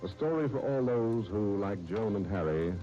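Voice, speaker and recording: Male 1930s American announcer; low, warm, measured narration, muffled broadcast with music behind. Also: expressive. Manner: formal, measured, polished delivery. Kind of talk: 1930s radio scripted narration.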